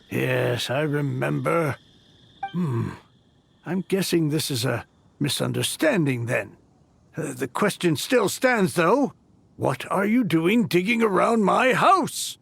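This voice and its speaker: Flustered, high-pitched, creaky struggling writer. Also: male voice, expressive, takes it slowly, fairly bright tone, full character voice. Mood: indignant. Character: flustered, self-deprecating, fussy aspiring male novelist. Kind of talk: animated game voice acting.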